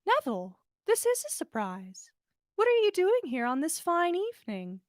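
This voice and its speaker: A woman voicing a calm, gentle, dreamy young woman in a storybook reading. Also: very high voice, moderate pace, no echo, studio quality, pitched above the performer's own voice, full character voice. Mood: mildly surprised. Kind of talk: storybook character dialogue.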